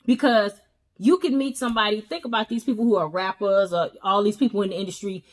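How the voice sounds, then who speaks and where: A woman's voice: medium pitch, fast. Woman cohost, earnest and emphatic, giving marriage advice in easy casual talk. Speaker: co-host. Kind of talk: casual chat about relationships.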